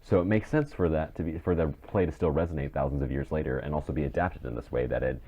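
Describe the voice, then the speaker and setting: A man's voice: extremely low pitch, fast, dark tone. A man co-hosting a podcast, thinking aloud tentatively in casual discussion. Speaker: podcast co-host.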